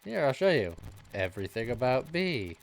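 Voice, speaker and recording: A man voicing a bitter, brooding young male split personality from a video game in a solemn, deliberate manner. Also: medium pitch, sing-song, gravelly.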